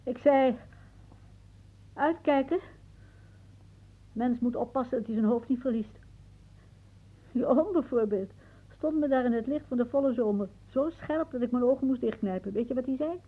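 An actress playing a sardonic, rambling old aunt in a radio play, given to suggestive musings and muttering. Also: female voice, a little high, normal speed, long pauses, slightly rough. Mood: wry, suggestive. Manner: muttering musings, then calling out loudly. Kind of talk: theatrical radio play acting. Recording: narrow, tinny band like old radio.

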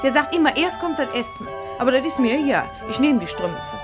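A young wife with a defiant streak in a radio play. Her voice is high and emphatic, with music playing behind her. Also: sing-song, fairly quick. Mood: defiant, giddy. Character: defiant young housewife tempted by small luxuries. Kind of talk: postwar German radio play acting.

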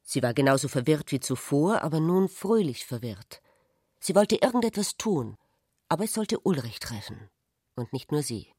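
An actress narrating a literary radio drama as a troubled, wounded woman, measured and introspective. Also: sits low, very animated, a little mellow, breathy edge, no echo, studio quality, heavily acted. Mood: pensive.

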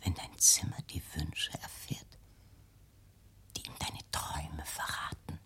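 An actress in a radio play playing an anxious, controlling mother, hushed and insinuating, with a husky, breathy voice. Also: slow, in a whisper. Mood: eerie, conspiratorial.

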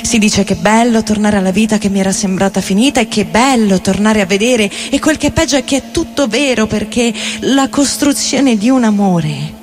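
A woman giving a theatrical recitation of poetry in deliberate, short phrases. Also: medium pitch. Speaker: theatre reader and vocal performer. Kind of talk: poetic theatrical recitation over music. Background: experimental guitar and electronic loops.

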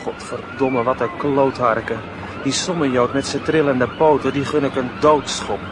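A man's voice: mid-range voice, steady tone, normal speed, noisy background, close to an everyday voice. A man playing a coarse, cocky teenage village schoolboy in a radio drama, blunt and offhand in his talk. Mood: sullen, boastful.

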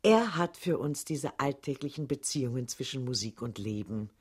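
An actress playing a formal, solemn radio presenter who introduces a study in measured, scripted sentences. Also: female voice, extremely low pitch, lively intonation, a little mellow, breathy edge, exaggerated voice. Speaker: radio announcer. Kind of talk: scripted radio play announcement.

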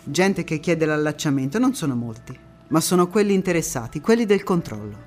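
A woman playing a proud, defiant anti-fascist partisan who recalls her youth in a theatrical monologue, with measured phrasing and deliberate pauses. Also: deep voice, very animated, darkish tone, soft background music. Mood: fond, wistful. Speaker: stage actress in a one-woman monologue. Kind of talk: theatrical first-person storytelling monologue.

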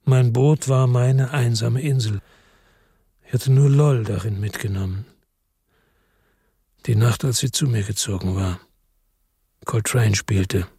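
Husky man narrating, brooding. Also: mid-range voice, fairly even intonation, mellow, no room sound, clean studio sound. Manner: measured, brooding, melancholic. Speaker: audiobook narrator. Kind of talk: first-person literary narration.

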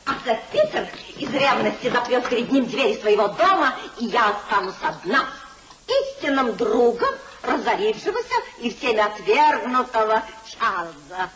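High-voiced coquettish young lady. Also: very animated, normal speed, background noise. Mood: gleeful. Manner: playful, mannered. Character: Lady Teazle, coquettish young society wife. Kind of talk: 1950s Russian theatrical radio acting.

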